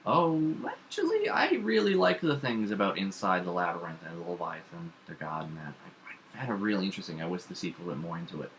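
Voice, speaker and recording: Deep, bright-voiced young man, chatting casually. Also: lively intonation, a little fast, slight background noise, poor audio. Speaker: vlog co-host. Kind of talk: casual chat about comics and horror films. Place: home kitchen.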